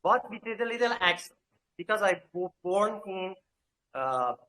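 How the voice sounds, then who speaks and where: A man's voice: high-pitched, animated, a little slow, sharp tone, poor audio. Hesitant man full of filler sounds. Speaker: crypto project representative. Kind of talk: live online AMA answers.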